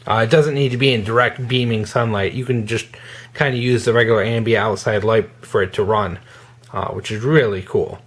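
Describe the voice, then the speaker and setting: A man giving a casual, hands-on product demonstration in an informal, halting way as a gear reviewer. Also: fairly low pitch, fairly even intonation, fairly bright tone.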